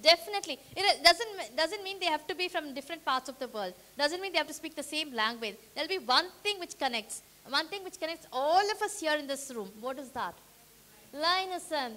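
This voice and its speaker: A woman runs a live workshop, her voice high and bright. She talks with energy, firing quick questions at the room to draw the crowd in. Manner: energetic, rallying the audience with questions. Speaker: workshop trainer. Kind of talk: interactive training session. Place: meeting hall with a live audience.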